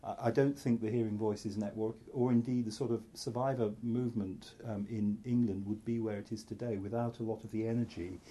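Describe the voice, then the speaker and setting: A middle-aged psychiatrist from North Wales giving a candid, self-deprecating talk to an audience, full of restarts and asides. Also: male voice, on the low side, fairly even intonation, a little fast, few pauses, mellow, slightly rough.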